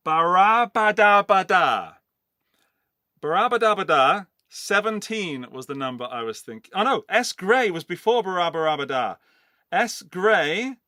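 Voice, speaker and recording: A middle-aged Englishman hosts a live-stream giveaway, chatting loosely and rambling through asides to his viewers. His voice sits a little high for a man and sounds bright and tense. The sound is close and dry, with no room around it. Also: no room sound. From England, Forest of Dean in Gloucestershire. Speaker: livestream host running a giveaway. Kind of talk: casual live-stream chat.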